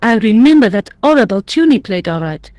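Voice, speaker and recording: A woman's voice: fairly high pitch, very animated, a little fast, darkish tone, no echo. An actress playing a blunt, groggy, grumbling French woman who likes a drink, with a French accent, in an adventure game. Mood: shaken, frank.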